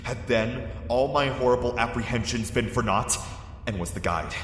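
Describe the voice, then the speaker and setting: A man giving a measured, grave first-person horror narration that swells with dread. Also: medium pitch. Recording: echoey cave-like reverb with music.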